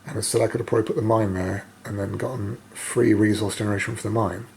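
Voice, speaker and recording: A man with a slightly croaky voice gives casual play-by-play of a solo board game, thinking aloud with hesitant pauses. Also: on the low side, fairly even intonation, a little mellow. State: croaky voice, coughing now and then. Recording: noticeable background noise.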